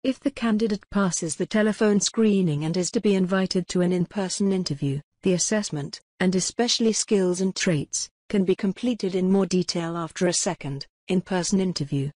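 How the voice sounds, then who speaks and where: A woman reading scripted tutorial narration in a measured, impersonal, step-by-step way. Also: on the low side, darkish tone, dry recording, studio quality.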